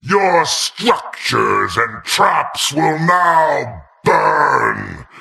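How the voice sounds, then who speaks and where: Manic infernal game villain, gravelly, forceful, gleefully taunting, slow theatrical delivery. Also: male voice, on the high side, full character voice. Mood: gleeful menace. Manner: theatrical, taunting, drawn-out emphasis. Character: manic, gleeful fiery dungeon master relishing burning everything. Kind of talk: video game character voice lines.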